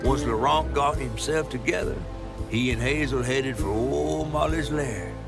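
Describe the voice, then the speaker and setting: An actor playing a folksy storyteller, narrating a video game like a storybook. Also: male voice, mid-range voice, measured pace, creaky. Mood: measured storytelling. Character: folksy storyteller recounting a dark tale. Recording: loud music behind the voice.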